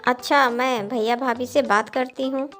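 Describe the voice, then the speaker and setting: A woman's voice: high-pitched, steady tone, measured pace, fairly bright tone. A woman playing a caring, earnest young married sister in a cartoon moral story. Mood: concerned. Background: background music.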